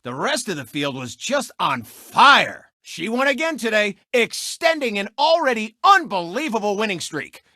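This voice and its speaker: A cocky cartoon race car holds forth as a gloating sports-show host in a racing game. The delivery is bright and forceful, swinging widely in pitch, smug and mocking, on a very dry, close recording. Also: male voice, big pitch swings, normal speed, hardly any pauses, dry recording, heavily acted. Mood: showy commentary. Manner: smug, showy, exclamatory, mocking. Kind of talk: animated sports commentary and taunting.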